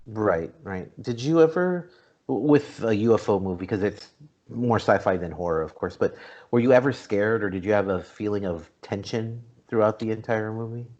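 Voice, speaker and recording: A man's voice: lowish voice, normal speed, darkish tone, lo-fi sound. A man talking casually as a podcast host, discussing a film in a conversational way.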